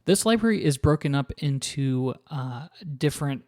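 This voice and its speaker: A man with a hoarse, scratchy voice, casually walking through a software instrument as a reviewer, with many fillers and restarts. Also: mid-range voice, normal speed. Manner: relaxed, filler-heavy, restarts sentences. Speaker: sample library reviewer. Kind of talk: casual product walkthrough.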